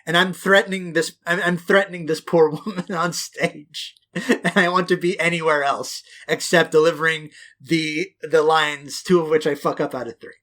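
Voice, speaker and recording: A man's voice: on the high side, fairly even intonation, normal speed, fairly bright tone. A man in his thirties from West Quebec, co-hosting a chatty podcast. His voice sits a little above the usual male range, and he talks in long, looping, profane anecdotes, often at his own expense. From West Quebec, Canada. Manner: rambling, profane, self-deprecating storytelling. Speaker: podcast co-host. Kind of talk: casual podcast chat.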